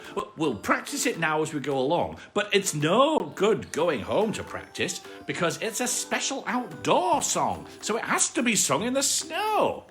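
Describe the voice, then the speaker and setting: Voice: medium pitch, wildly swooping pitch, measured pace, few pauses, fairly bright tone, soft background music, voice pushed up. A storyteller voicing a cheerful, muddled toy bear, earnest and singsong, from a children's storybook.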